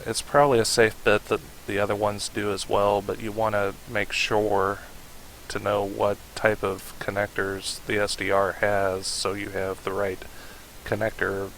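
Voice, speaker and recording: A man narrating a casual how-to tutorial in a halting manner, with pauses to think. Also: lowish voice, low-quality recording. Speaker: tutorial host. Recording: steady hiss behind the voice. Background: hiss.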